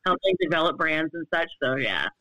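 A woman in her fifties, a longtime bartender and brand ambassador, answering interview questions in a loud, well-projected, animated voice. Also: low voice, brisk, no echo, low-quality recording. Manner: animated, laughs often.

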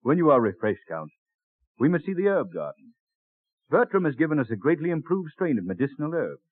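Dignified male statesman, oratorical, enthusiastic, widely swinging pitch, 1930s radio drama, muffled old recording. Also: sing-song, a little fast, no echo. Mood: genial, instructive. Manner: oratorical, declamatory. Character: dignified, enthusiastic American president, a statesman touring a garden.

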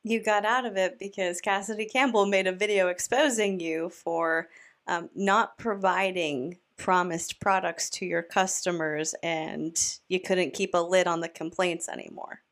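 A woman's voice: on the low side, fairly even intonation, unhurried. A woman hosting a commentary podcast, reacting to a video with a snarky, incredulous manner. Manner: snarky, incredulous, talks back to the clip.

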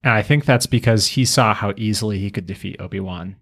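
Lower-pitched man in casual fan discussion. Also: fairly quick, a bit bright, breathy edge. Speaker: podcast host.

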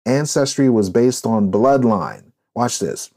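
A man's voice: mid-range voice, expressive, slow, warm tone. A man hosting a podcast discussion, speaking in an emphatic, preachy, declarative way. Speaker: podcast host.

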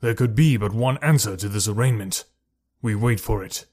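A man's voice: on the low side, normal speed, darkish tone, lightly acted. A man voicing an aged male alien council elder with a cold, hard voice.

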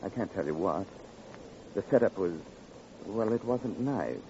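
An American actor playing a cagey, folksy lonely-hearts club operator, evasive and familiar, in an old-time radio crime drama. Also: male voice, fairly low pitch, expressive, unhurried, dark tone. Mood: confiding. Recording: muffled, noisy vintage radio recording.

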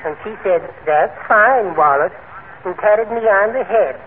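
An actor playing a meek, henpecked little poet in a timid, prim, genteel manner, in 1940s radio comedy style. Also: male voice, sits high, unhurried. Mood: sweetly aggrieved. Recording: noisy, tinny old radio broadcast.

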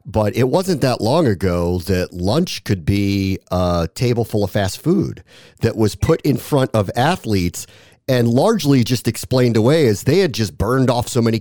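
A man's voice: on the low side, darkish tone, no room sound, studio quality. A man hosting an interview, asking questions in a conversational, reflective way. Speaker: interview host.

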